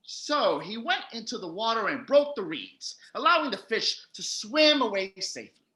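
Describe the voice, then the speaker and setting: A wry fairytale narrator, played by a performer, tells the story in a bright, lively voice. The telling is patient and storybook-like, with noticeable room echo. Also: mid-range voice, normal speed. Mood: storytelling. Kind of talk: storybook narration in comic audio drama.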